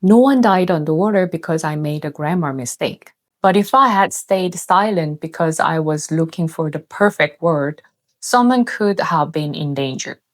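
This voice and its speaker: Korean-accented female host, 30s, lowish pitch, earnest scripted video-essay read. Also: expressive, normal speed. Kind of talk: scripted narration.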